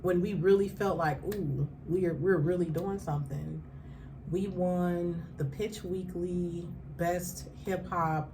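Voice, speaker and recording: A woman's voice: fairly low pitch, steady tone, slow pace, noisy background. A woman from Kansas City with a Kansas City accent, a radio show founder speaking as a guest in a casual interview, affirming and laughing often.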